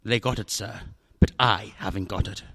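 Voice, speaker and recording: An actor playing a fat, genteel, scheming older villain with a florid, unctuous manner in a radio drama. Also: male voice, on the low side, fairly even intonation, normal speed, fairly bright tone.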